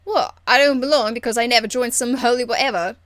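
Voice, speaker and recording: A woman's voice: fairly high pitch, quick pace, fairly bright tone, no echo, studio quality, close to an everyday voice. A woman voicing a cheeky, crude young elf thief in a video game, with a blunt, teasing, informal manner. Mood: indignant. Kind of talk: video game character acting.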